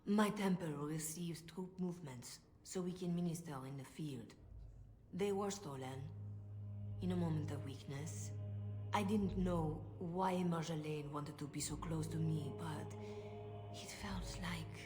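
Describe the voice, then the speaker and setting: An actress playing a calm, knowing middle-aged female cleric in a dramatic video game, measured and gentle, at times hushed. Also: low voice. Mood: confessional, steady. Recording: echoey room, faint music behind.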